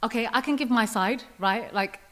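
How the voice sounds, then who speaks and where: Calm young woman podcast guest. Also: on the high side, normal speed. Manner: calm, reflective, often quotes herself. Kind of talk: casual interview chat.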